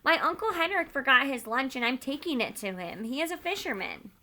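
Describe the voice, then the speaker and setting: A young storybook girl, voiced by a woman reading aloud, chattering and pretending to be silly, with an indignant edge. The voice is bright and lifted above the reader's usual talk. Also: sits high, lively intonation, brisk, slight background noise, voice pushed up. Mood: careful. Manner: chattering, exasperated, storybook read-aloud delivery.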